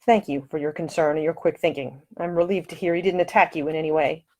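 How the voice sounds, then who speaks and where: A woman's voice: sits low, steady tone, quick pace, a little mellow. A woman voicing a gallant young lord in an audiobook, protective and courtly in speech. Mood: tender, rueful.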